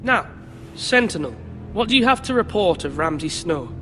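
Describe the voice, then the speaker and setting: A high-voiced, earnest young lord in a fantasy game drama. He speaks with formal, commanding resolve. Long hall reverb surrounds him, with faint music underneath. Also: very animated, moderate pace, pushed and bright, heavily acted. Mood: measured, resolute. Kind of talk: dramatic video game acting.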